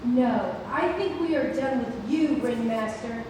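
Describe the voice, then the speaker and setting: A woman's voice: on the high side, expressive, a little slow. A woman playing a tall, burly, sardonic circus stagehand, dry and defiant, in a theatrical play read-through. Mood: defiant, mocking. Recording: noisy echoey hall, far from mic.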